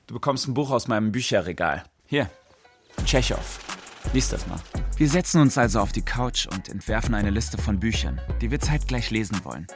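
A man's voice: on the low side, expressive, moderate pace, over music. An actor playing a frank, loyal, bookish male friend with a dry manner in a radio drama. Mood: warm, playful. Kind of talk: radio drama dialogue and narration.

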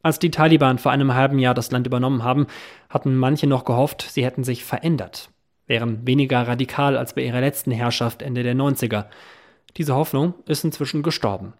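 A man reading a scripted radio news report in a measured, even way. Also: mid-range voice. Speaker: news presenter.

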